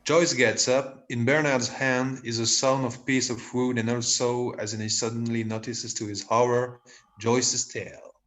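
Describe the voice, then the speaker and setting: A man's voice: mid-range voice, little pitch movement, measured pace, a bit bright, poor audio. A man giving scripted narration, reading out the stage directions of a comedy play.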